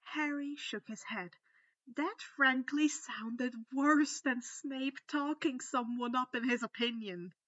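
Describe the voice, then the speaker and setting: Bright-voiced woman narrating slowly. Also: high voice, no room sound, highs cut off. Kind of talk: scripted narration of fan fiction.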